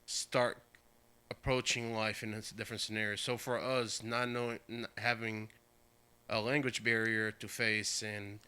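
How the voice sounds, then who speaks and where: This man grew up in Portugal and talks with a strikingly bright, crisp, clean tone. He tells the story in a casual, rambling way, backing up to fix his words and laughing easily. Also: lowish voice, level tone, normal speed. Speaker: podcast guest.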